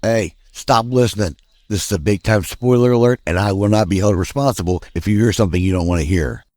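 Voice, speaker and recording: Guy doing a stern officious disclaimer man, lowish voice, rattles it off fast, podcast skit. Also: a little mellow, lightly acted. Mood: stern warning. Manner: rapid, officious disclaimer delivery. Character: brusque fast-talking disclaimer man giving stern warnings.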